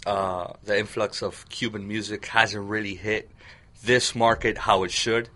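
A man speaks as a radio guest, talking earnestly and proudly about his work. His voice is fairly low but bright and forward, picked up in a studio. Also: level tone, a little slow. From United States. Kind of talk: casual interview talk.

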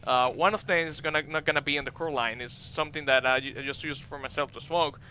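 A man's voice: a little high, quick pace, sharp tone, slight background noise. A man with a Dominican accent, a cigar maker guest on a talk radio show, speaking casually with many fillers and restarts. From Dominican Republic.

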